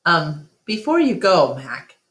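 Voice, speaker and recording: A woman's voice: low-pitched, sing-song, takes it slowly, a bit bright, roomy sound, exaggerated voice. A woman voicing a kindly, dutiful male animal keeper in a storybook, mild and deferential. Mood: hesitant.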